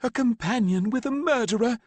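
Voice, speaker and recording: A man's voice: extremely high pitch, fairly quick, a little mellow, no room sound, pitched above the performer's own voice. A man voicing a grieving mother in mourning who harshly accuses and snarls at a rider. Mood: sneering rage. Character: grief-crazed mother in deep mourning, furious.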